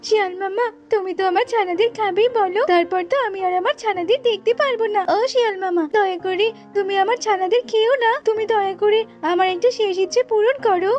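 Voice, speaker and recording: A woman's voice: fast, hardly any pauses, darkish tone. A woman voicing a small, pleading but cunning mother tailorbird in an emotional children's cartoon style. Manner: imploring, high, emotional. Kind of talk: children's animated folk-tale acting. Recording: dull lo-fi audio with music behind.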